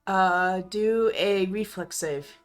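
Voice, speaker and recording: A woman's voice: medium pitch, takes it slowly, faint music behind. A woman narrating an improvised tabletop roleplaying scene as game master.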